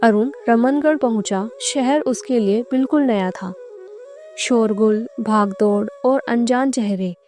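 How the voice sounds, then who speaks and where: A woman's voice: fairly high pitch, unhurried, darkish tone. A woman reading scripted narration for a moral story as its narrator. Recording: clear background music under narration.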